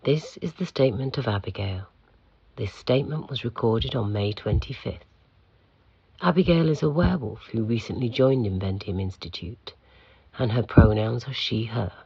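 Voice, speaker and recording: A man playing an aloof, pompous keeper of an institute for monsters in an audio drama, speaking in a formal, measured, curt way with a gravelly, husky voice. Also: mid-range voice, darkish tone, no room sound, highs cut off. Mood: clinical, probing.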